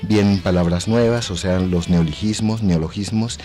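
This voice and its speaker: A man's voice: deep voice, normal speed, dark tone. An older man speaking as a guest actor in a radio interview, explaining things didactically and often checking for agreement. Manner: didactic, explanatory, seeks agreement with tag questions. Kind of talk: thoughtful radio interview talk. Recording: music behind the talk. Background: music.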